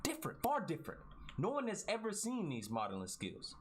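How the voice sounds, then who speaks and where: A man's voice: sits high, huge pitch swings, brisk, slight background noise. A man improvising a mock-epic comic story in casual slang, repeating himself and tagging phrases with "right?". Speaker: storyteller narrating over gameplay video. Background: basketball video game sounds and chatter.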